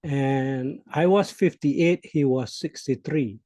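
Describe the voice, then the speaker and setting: A man in his sixties from Malaysia, a Malay speaker, speaking reflectively as an interview guest, with hesitations and soft laughs. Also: medium pitch, slow pace, no echo, clean studio sound. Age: 60s. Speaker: CEO coach guest. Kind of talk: interview conversation.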